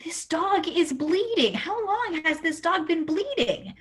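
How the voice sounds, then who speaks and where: A woman's voice: extremely high pitch, big pitch swings, measured pace, heavily acted. A woman playing a blunt young female veterinarian in a parody radio play, brusque and quizzing. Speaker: comedy radio-play actor.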